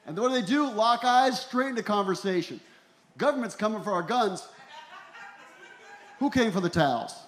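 Guy in his 40s doing standup on a stage mic, kinda high voice, dry self-mocking bits, pretty echoey room. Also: lively intonation, normal speed, long pauses, fairly bright tone, a little hiss or hum. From Ecuador and El Salvador. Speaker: stand-up comedian. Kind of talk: stand-up comedy routine. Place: small comedy venue in a tent, on stage. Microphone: handheld stage microphone. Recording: roomy live comedy club sound.

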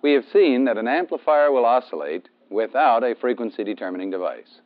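An American man narrates an instructional film in a methodical, explanatory way. His pitch moves in a lively way as he stresses key terms. The sound is dull and band-limited, like a 1970s film soundtrack. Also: a little fast, heavy background noise.

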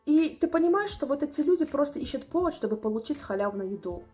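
A young woman in her twenties telling a story to the camera from a small room at home, animated and indignant. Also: sits high, fast, faint music behind. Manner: animated, indignant, acts out customers' voices. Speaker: vlogger.

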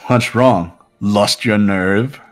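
A man playing a low-voiced, haughty young snob. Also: extremely slow. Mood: scornful. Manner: condescending, scornful. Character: haughty, cold, contemptuous rich young man. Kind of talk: amateur video game voice-over. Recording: background music throughout.

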